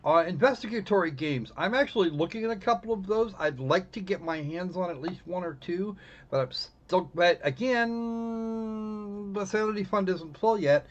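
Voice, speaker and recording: A man hosting a live game stream in casual chat, often halting and restarting his phrases. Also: sits high, highs cut off.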